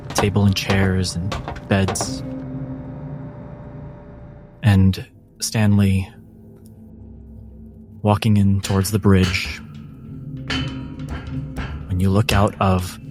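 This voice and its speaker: A man narrating as game master in an atmospheric, measured style with dramatic pauses. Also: low-pitched. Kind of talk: slow atmospheric scripted-sounding narration.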